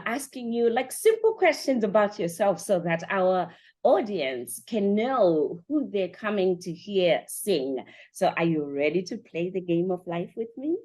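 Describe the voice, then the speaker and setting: A woman's voice: mid-range voice, darkish tone. A woman hosting an upbeat podcast interview show, chatty and enthusiastic, speaking straight to her listeners. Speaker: show host and conference organiser.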